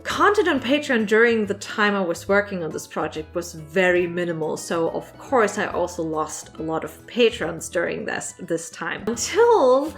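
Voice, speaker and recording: A woman creator hosting a casual question-and-answer vlog, speaking in a chatty, emphatic, animated way. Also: fairly low pitch, normal speed, hardly any pauses, faint music behind. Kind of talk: casual talk to camera.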